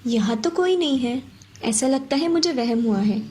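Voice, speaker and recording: A woman playing a kind, polite young village girl who speaks earnestly in an animated moral story. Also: high voice, normal speed, a little hiss or hum. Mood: puzzled.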